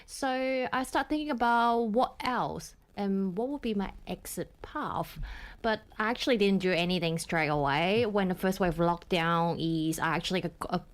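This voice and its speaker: A woman's voice: lowish voice, normal speed. Earnest woman guest telling her story. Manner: earnest, reflective, with slight slips of grammar. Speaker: leadership coach guest. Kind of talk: interview storytelling.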